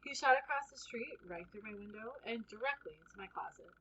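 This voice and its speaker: A woman reading a children's book aloud as an expressive first-person storyteller. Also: on the high side, a little fast, lo-fi sound, slight background noise.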